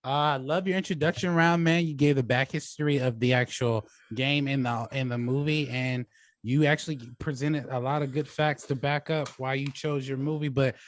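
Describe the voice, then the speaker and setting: A man's voice: mid-range voice, steady tone, normal speed, dry recording. A man moderating a debate show in a casual, affable way, often chuckling as he talks. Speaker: debate show host and judge.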